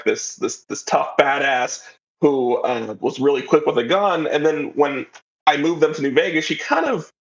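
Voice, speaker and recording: A man with a creaky, fry-laden voice gives a casual, reflective interview answer as a writer. His pitch is average, and the audio is a little dull and lo-fi.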